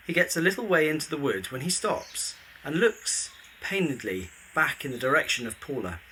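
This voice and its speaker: A man's voice: mid-range voice, bright, forceful tone, slight background noise, poor audio. A man giving scripted narration of a story, setting each scene in the present tense.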